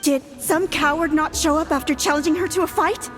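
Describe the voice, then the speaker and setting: Woman playing a high-voiced, sharp-tongued young priestess. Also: brisk, over music, close to an everyday voice. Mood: wary, pointed. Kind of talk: anime-style video game voice acting.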